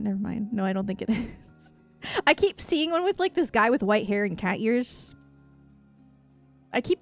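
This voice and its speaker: Female streamer, fairly high voice, effusive and self-deprecating, rambling casually to chat over music. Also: a bit bright. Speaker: livestreamer. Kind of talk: casual chatty livestream, reading and answering chat.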